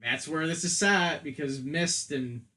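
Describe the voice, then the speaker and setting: A man with a slightly higher-than-average voice speaks in a casual podcast discussion. He is opinionated and emphatic, talking like a co-host among friends. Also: unhurried, a crowd in the background. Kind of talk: casual film and game discussion.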